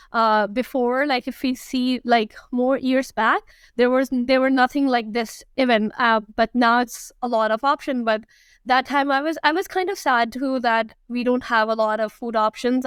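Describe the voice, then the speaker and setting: A woman in her thirties with a Pakistani accent, chatting casually as an interview guest in a halting way, repeating phrases for emphasis. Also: a little high, a bit bright, clear, resonant tone, dry recording, studio quality. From Karachi, Pakistan. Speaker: guest, food and lifestyle blogger.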